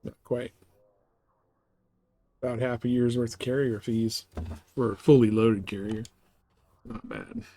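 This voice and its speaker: A man's voice: medium pitch, a little slow, vocal fry, pauses often, mellow. A man chatting casually as he streams a game, laid-back, slangy and prone to swearing. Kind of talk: casual chat with viewers while playing.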